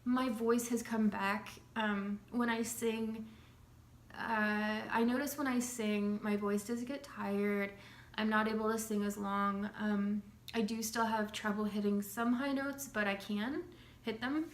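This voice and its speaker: A woman's voice: mid-range voice, steady tone, a little slow. A young woman with a slight cold, chatting casually to camera in a loose, rambling way with many fillers. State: has a slight cold, clears her throat. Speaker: vlogger sharing her recovery. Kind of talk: casual talking-to-camera update. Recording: echoey room with faint hum.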